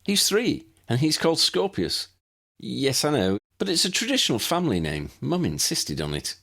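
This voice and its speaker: A man voicing a dry, amused young male professor in an audiobook, wry and gently mocking. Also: medium pitch, wildly swooping pitch, moderate pace, voice pushed up, exaggerated voice. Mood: pleased, sheepish.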